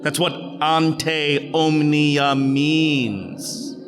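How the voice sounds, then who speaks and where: An actor playing a self-important, educated village governor in a theatrical reading of a comic period play. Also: male voice, on the high side, little pitch movement, extremely slow, over music. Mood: correcting. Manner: pompous, correcting. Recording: reverberant hall.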